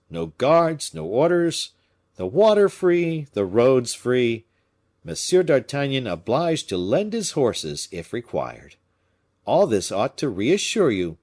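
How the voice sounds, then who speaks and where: A man voicing a shrewd, courteous musketeer captain in an audiobook, speaking in a measured, pointed, formally polite way. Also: medium pitch, a little mellow. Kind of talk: audiobook character acting.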